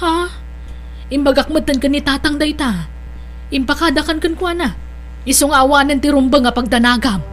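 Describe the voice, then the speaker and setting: A woman's voice: high-pitched, normal speed, long pauses, soft background music, natural voice. A woman playing a bossy, meddling older sister in an Ilocano audio drama, insistent and probing in her manner. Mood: persuasive.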